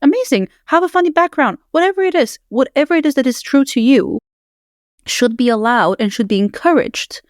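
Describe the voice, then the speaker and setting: A woman's voice: high voice, expressive, a little fast, dry recording, clean studio sound. A woman hosting a podcast who talks in an emphatic, persuasive way. She builds her points up in lists. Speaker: podcast host. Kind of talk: casual podcast conversation.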